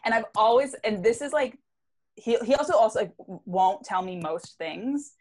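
A woman's voice: fairly high pitch, animated, moderate pace, a little mellow. A woman co-hosting a podcast, chatting casually with lots of "like" fillers. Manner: chatty, filler-heavy with frequent "like". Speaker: podcast co-host. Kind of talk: casual chat.

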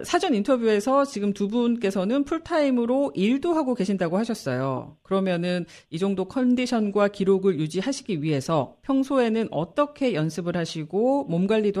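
A radio host interviewing guests with a polite, smooth broadcast delivery. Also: medium pitch, a little mellow.

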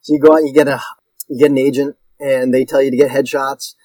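A man in his fifties, a guest on an interview show, talks in a casual, meandering way, circling back and restarting his sentences as he tells stories about himself. His pitch sits in a middle range, and the voice is recorded dry and close. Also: flat delivery, fast talker, mellow, no echo, low-quality recording. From Connecticut. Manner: rambling, self-deprecating, with frequent restarts. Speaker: guest actor.

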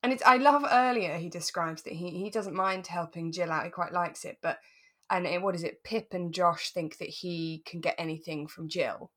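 A British woman co-hosting a relaxed chat podcast, giggly and self-mocking as she tells things in an animated way. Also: fairly low pitch, normal speed. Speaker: podcast co-host. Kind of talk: relaxed chat about a radio drama.

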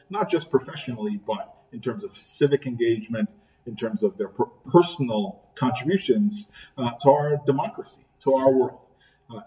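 A middle-aged man from Trinidad and Tobago speaks with a mellow, warm tone, measured and earnest. He builds his answers by repeating key phrases. His voice sits a little back from the mic. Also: mid-range voice. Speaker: college president, podcast guest. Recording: voice picked up from a distance.

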